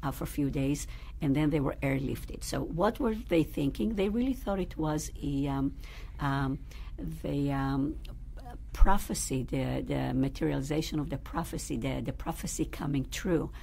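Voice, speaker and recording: A woman rabbi recounting her family's history in an interview, pausing often to search for words. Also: low-pitched, gravelly, warm tone. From Israel. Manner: thoughtful, searching for words with fillers. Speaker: rabbi, guest. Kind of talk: interview, personal storytelling. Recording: faint background noise.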